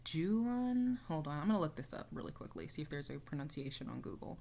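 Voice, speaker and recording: A woman's voice: deep voice, sing-song, quick pace, gravelly, mellow, a little hiss or hum. A young woman chatting casually as she reacts to a music video, rambling with lots of fillers. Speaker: reaction YouTuber.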